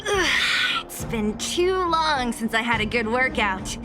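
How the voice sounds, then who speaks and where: A tough young woman fighter for a video game. Her high voice moves expressively, blunt and sardonic, quick to exasperation. Music plays behind her. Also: lively intonation. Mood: focused.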